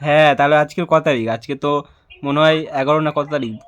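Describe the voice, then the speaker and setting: Fast-talking young man, teasing prank caller. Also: fairly high pitch, fairly even intonation. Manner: playful, persistent, pleading. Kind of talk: casual teasing phone chat.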